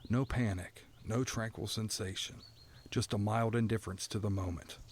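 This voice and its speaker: A man playing a stoic, resigned prisoner who narrates an audio drama in a somber, deliberate, poetic storytelling manner. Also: on the low side, steady tone, slight background noise, natural voice. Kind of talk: scripted first-person dramatic narration.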